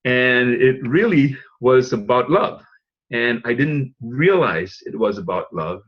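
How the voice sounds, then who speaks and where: A man's voice: mid-range voice, lively intonation, slow, lo-fi sound. A man who grew up in Singapore, presenting a business opportunity to an audience in a loose, rambling way with frequent fillers and light chuckles. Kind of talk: webinar pitch talk.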